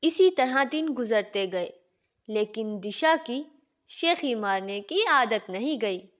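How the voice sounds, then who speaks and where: A woman reads out a scripted story. Her voice sits a little high and she moves slowly through each sentence as a storyteller. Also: animated.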